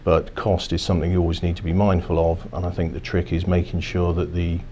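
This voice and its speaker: A man in his fifties, a financial services executive, giving measured, corporate explanations in a seated office interview, often restarting his phrases. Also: sits low, flat delivery, mellow, a little hiss or hum. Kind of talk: business interview. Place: office, indoors.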